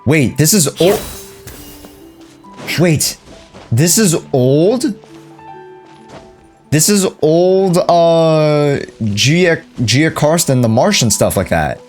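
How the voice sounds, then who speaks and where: A man's voice: on the high side, animated, very slow, long pauses, a little mellow, soft background music. A man doing casual live reaction commentary as a video game streamer, talking to his chat in slangy, offhand phrases.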